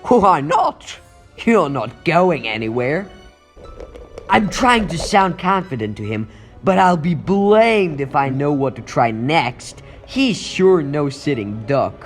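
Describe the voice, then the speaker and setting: Guy doing young teen superhero, highish animated voice, wisecracky but anxious, dramatic thinking out loud, comic dub. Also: unhurried, soft background music. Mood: strained, worried. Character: wisecracking teenage male superhero, earnest and anxious. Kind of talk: comic book dub voice acting.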